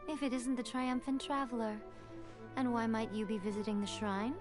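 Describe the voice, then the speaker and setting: A sly shrine priestess with a slightly raised pitch, performed by a woman speaks in a coy, amused, gently mocking way. It is video game voice acting with the game's music playing clearly underneath. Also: steady tone, moderate pace, lightly acted. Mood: teasing. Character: sly, teasing fox-eared shrine priestess, playful.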